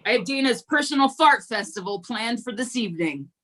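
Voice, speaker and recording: A woman performing stand-up one-liners at an online open mic, with a slow deadpan delivery and giggles between jokes, heard over a video call. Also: a little high, poor audio. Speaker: stand-up comedian.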